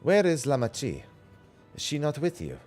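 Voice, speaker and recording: A man's voice: on the high side, wildly swooping pitch, moderate pace, a little mellow, soft background music, voice pushed up. A man reading out the lines of earnest, formal fantasy game adventurers in archaic phrasing. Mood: worried, urgent. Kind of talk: reading game dialogue aloud.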